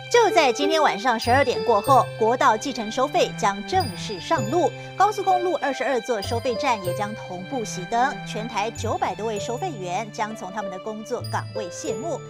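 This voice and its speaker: A woman acting a formal news anchor in a radio play. Her fairly high voice reads in bulletin style, with loud music under it. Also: lively intonation, normal speed, hardly any pauses, close to an everyday voice. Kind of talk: news reading.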